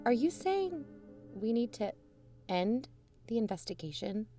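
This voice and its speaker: A woman's voice: fairly high pitch, very animated, measured pace, dark tone, no echo. Pleading, conciliatory woman. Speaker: co-investigator in an audio drama. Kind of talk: scripted audio drama dialogue. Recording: music bed underneath.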